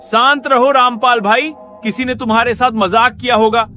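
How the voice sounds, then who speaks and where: A man's voice: extremely high pitch, steady tone, moderate pace, sharp tone, soft background music. A man voicing a concerned village man, exclamatory, in a cartoon story. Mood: soothing. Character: concerned, dismissive high-voiced village man.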